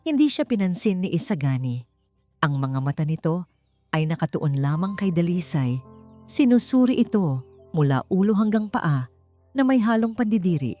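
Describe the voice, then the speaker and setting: A woman's voice: fairly low pitch, big pitch swings, moderate pace, warm tone. A woman reading a scripted love story as a dramatic narrator. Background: faint music.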